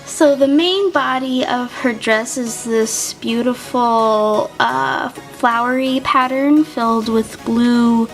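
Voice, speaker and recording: A woman's voice: a little high, takes it slowly, few pauses, rough recording. A woman co-hosting a casual, unscripted review, describing things in a gushing way. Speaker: co-host of a toy review. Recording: music behind the voice.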